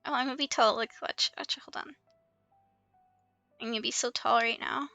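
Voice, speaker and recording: A woman's voice: on the high side, steady tone, moderate pace, creaky, sharp tone, soft background music. A woman livestreaming a game in casual chat, playful and giggly as she comments and reads out dialogue. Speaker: livestream host playing an online game.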